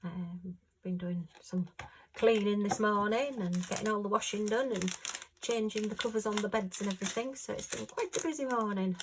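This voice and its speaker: A woman chatting casually at home while she works on a craft, rambling and homely with lots of fillers, her voice a little hoarse. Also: medium pitch, moderate pace, hardly any pauses, background noise. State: slightly hoarse. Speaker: crafting vlogger.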